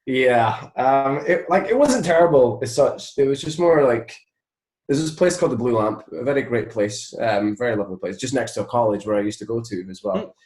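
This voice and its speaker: A Scottish man, a musician being interviewed, telling anecdotes casually in a rambling, animated way. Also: medium pitch, brisk, roomy sound. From Scotland.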